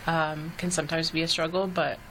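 Young female guest, low and flat voice, hesitant and filler-heavy. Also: brisk, background noise. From Canada. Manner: hesitant, with many ums. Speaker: artist guest. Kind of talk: casual interview answers.

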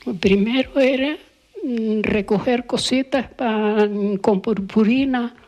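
An older woman with a Canarian Spanish accent reminisces in an interview. Her voice sits fairly high for a woman, and she speaks very slowly, halting as she searches for words. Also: darkish tone. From Canary Islands, Spain. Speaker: interviewee recalling village life. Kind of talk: unscripted oral-history reminiscence.

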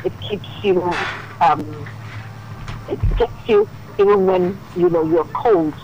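A woman, a dermatologist, calling into a radio show and explaining calmly, with many "you know"s. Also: mid-range voice, slow pace, gravelly, highs cut off, slight background noise. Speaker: dermatologist guest.

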